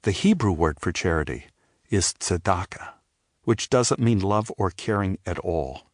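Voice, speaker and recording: An older man giving a scripted, reflective first-person narration for an audio drama, in a measured storytelling manner. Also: sits low, expressive, no echo, studio quality. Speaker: narrator and playwright telling his own story.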